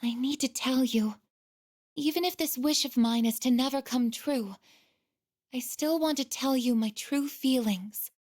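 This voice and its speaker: A woman voicing a gentle, earnest female android in a video game, speaking softly and hesitantly with shy devotion. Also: high-pitched. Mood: earnest, tender. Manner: soft, hesitant, deliberate, tender. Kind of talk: video game voice acting, emotional dialogue.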